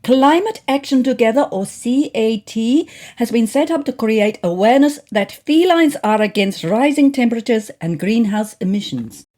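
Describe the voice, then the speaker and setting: A woman's voice: a little high, lively intonation, normal speed, hardly any pauses, warm tone, pitched above the performer's own voice, close to an everyday voice. A woman voicing an earnest, stubborn female activist cat in a storybook, with an affected Nordic accent. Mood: vehement.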